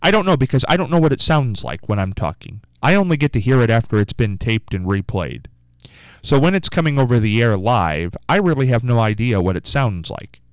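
A man's voice: medium pitch, animated, fairly quick, clean tone, dry recording, low-quality recording. A man hosting a morning call-in radio show. He talks in a rambling, musing way and keeps asking listeners to phone in. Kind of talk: casual call-in radio talk. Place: radio studio. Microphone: studio microphone.

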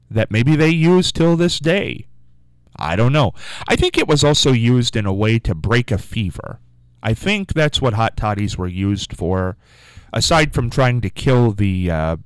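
A man's voice: on the low side, smooth, no echo. A man hosting a morning call-in radio show. He talks in a rambling, musing way and keeps asking listeners to phone in. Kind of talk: casual call-in radio talk. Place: radio studio. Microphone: studio microphone.

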